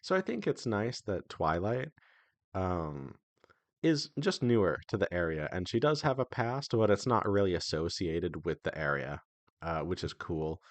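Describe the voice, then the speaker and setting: A man's voice: deep voice, lively intonation, unhurried, no room sound. A man talking casually as the host of an indie developer devlog, in a hesitant, conversational way that often doubles back on itself.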